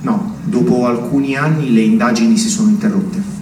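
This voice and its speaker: A man playing an earnest small-town separatist politician in a stage drama, answering an interview in measured, rhetorical phrases. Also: mid-range voice, close to an everyday voice. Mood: guarded. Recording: roomy, distant, clearly noisy stage recording.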